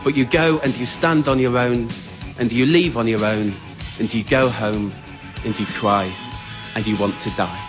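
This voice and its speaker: A man's voice: mid-range voice, fairly even intonation, moderate pace, over music. Man telling a comic story on stage. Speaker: writer-performer of a one-man spoken word show. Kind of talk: scripted autobiographical comic storytelling to a live audience. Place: on stage in a radio theatre with a laughing audience.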